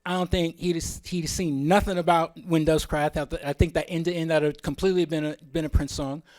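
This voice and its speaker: Guy presenting at a symposium, voice a bit on the high side, informal, opinionated, goes off on tangents. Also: steady tone, brisk, darkish tone.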